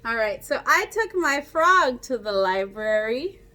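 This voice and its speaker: Female children's read-aloud teacher, fairly high voice, very unhurried, interactive, explains simply and asks questions. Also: sing-song, bright, forceful tone. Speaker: teacher reading a picture book aloud to children.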